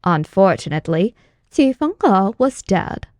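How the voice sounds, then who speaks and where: A woman's voice: mid-range voice, very animated, unhurried, a little mellow, dry recording. A woman reading scripted fiction as an audiobook narrator, close to the microphone.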